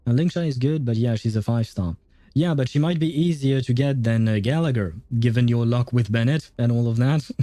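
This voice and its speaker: A man's voice: mid-range voice, a little fast, dark tone, no room sound. A man livestreaming a game, giving casual commentary and chatting with his viewers.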